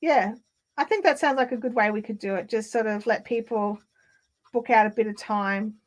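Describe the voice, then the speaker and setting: A woman hosting a casual craft livestream, chatting in a rambling way with lots of fillers and restarts, her throat slightly raspy. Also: fairly high pitch, normal speed. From Australia. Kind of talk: casual live chat while spinning. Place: home craft room.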